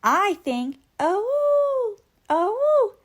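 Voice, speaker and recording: A woman voicing an excitable, easily spooked young boy mouse in a storybook. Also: very high-pitched, extremely slow, voice pushed up, exaggerated voice.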